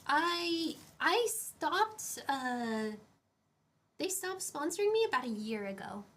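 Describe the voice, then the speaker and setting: A woman streamer with a higher-pitched voice, chatting casually on a live stream in a rambling way with many fillers and restarts, picked up by a shotgun mic set a few feet away. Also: sing-song, slow, a bit bright. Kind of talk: casual live-stream chat, reading chat questions. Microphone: shotgun condenser mic about five feet away.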